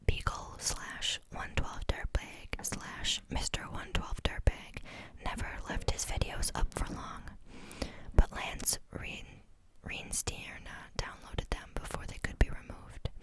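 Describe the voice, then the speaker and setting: Woman reading aloud in a whisper. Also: takes it slowly. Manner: soft unvoiced whisper throughout; reads steadily, stumbles and restarts on words. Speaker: reader.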